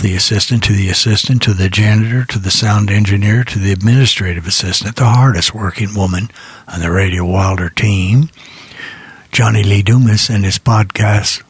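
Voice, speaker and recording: A man with a low, heavily rasped voice hosts a rock radio show. He talks casually and meanders from thought to thought in a folksy way. The sound is dry and close on a studio mic. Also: dry recording. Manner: folksy, meandering, thinking aloud. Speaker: radio DJ and host. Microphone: close studio microphone.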